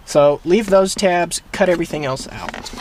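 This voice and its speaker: A man's voice: fairly high pitch, moderate pace, background noise. A man hosting a how-to video, talking through a hands-on job in a casual, plain-spoken, explanatory way. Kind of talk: casual hands-on DIY tutorial.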